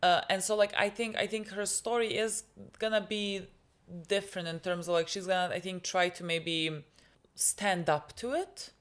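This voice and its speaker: A woman's voice: medium pitch, normal speed. A young woman whose first language is Serbian, co-hosting a TV reaction and chatting casually with many fillers and restarts. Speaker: reaction video co-host. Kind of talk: casual chat.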